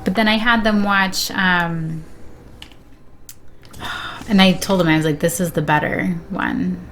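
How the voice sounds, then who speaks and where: A woman's voice: lowish voice, steady tone, unhurried, a bit bright, a little hiss or hum. A woman chatting casually as a guest on a game stream. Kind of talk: casual chat.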